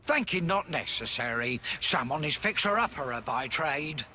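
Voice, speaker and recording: A cheerful small creature engineer from a fantasy game. The voice is high and forceful, leaping about as it boasts in broken third-person grammar. Faint noise sits behind it. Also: male voice, animated, moderate pace. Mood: proud, eager.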